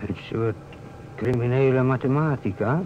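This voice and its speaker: A man's voice: mid-range voice, normal speed, natural voice. A man acting an eager, inquisitive policeman in an old radio play, prompting with hesitant interjections. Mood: curious. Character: eager, curious policeman colleague, hanging on every word. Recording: muffled old radio recording, faint noise.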